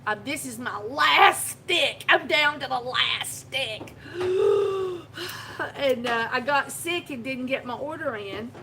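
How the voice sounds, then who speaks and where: A chatty woman rambles through a story on a live phone video from her shop. Her voice sits a little high and sounds bright and forceful, and she breaks into laughter often. Faint background noise hums behind her. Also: expressive, takes it slowly. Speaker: soap shop owner hosting a live video. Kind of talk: casual live-stream chat.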